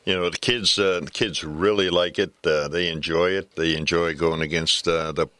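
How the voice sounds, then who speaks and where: Low, bright older American man, halting delivery. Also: fairly even intonation, no room sound. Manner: halting, repetitive phrasing. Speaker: former baseball coach, color commentator. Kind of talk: casual sports analysis.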